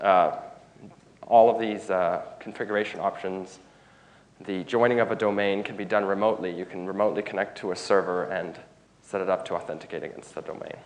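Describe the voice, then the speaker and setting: A man's voice: sits low, vocal fry, rough recording. A man giving a technical conference talk in a room, stuffed up from a cold, informal and often restarting phrases. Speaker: conference speaker.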